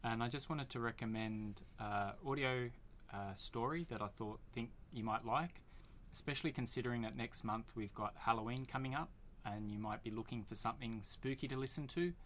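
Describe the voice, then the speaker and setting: A man's voice: mid-range voice, moderate pace, fairly bright tone. Man talking casually over background noise. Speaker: podcast editor. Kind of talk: casual spoken recommendation.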